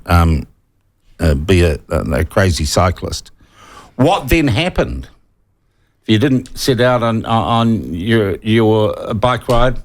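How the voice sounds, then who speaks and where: A man with a New Zealand accent hosting a conversational radio interview in a studio, with a halting, hedging delivery. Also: fairly low pitch. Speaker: radio talk show host. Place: broadcast studio.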